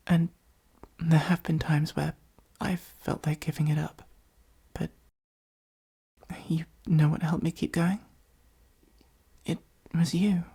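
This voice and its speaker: A woman playing a possessive supervillain who is secretly fond of a hero, in an intimate ASMR roleplay, speaking softly and breathily with an earnest, gently wry delivery. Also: deep voice, full character voice. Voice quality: soft, husky, breathy, often near whisper.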